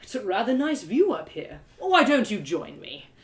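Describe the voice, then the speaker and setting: A woman's voice: medium pitch, big pitch swings, normal speed, echoey room, far from the mic, close to an everyday voice. A woman voicing a smug, mocking brother in a fantasy storybook, with a sardonic, mock-polite drawl. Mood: smug, taunting. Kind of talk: storybook character voice in a live reading.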